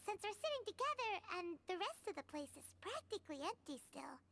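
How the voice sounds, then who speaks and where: A woman voicing a small, childlike, easily flustered fairy companion in a video game, squeaky and chatty, full of exclamations. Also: very animated, fairly quick, vocal fry, fairly bright tone, full character voice. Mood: awkward, indignant. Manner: refers to herself in third person, exclaims a lot. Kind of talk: animated game character acting.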